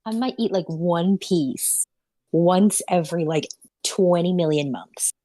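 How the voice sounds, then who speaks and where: A woman's voice: fairly low pitch, measured pace, mellow, dry recording. A woman dropping wry quips in casual group banter. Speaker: audio drama panelist.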